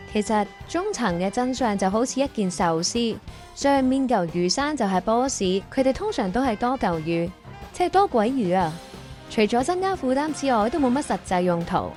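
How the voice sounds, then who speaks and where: A woman's voice: on the high side, darkish tone. A woman with a Hong Kong Cantonese accent hosting and narrating a scripted workplace audio drama. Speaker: host and narrator.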